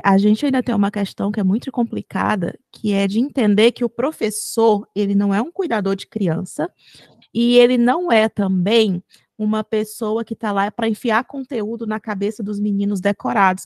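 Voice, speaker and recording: A woman's voice: medium pitch, vocal fry, a little mellow, no echo, clean studio sound. A Brazilian woman co-hosting a podcast, arguing her point in an earnest, emphatic way. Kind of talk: casual podcast discussion.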